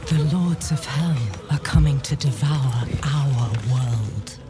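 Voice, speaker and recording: A woman playing a seductive, commanding demon mother in a video game cutscene, speaking solemnly and often in a hushed whisper. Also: rumbling low. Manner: slow, solemn, hushed, breathy delivery in places. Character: seductive, commanding demon mother preaching freedom through sin. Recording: music behind the voice.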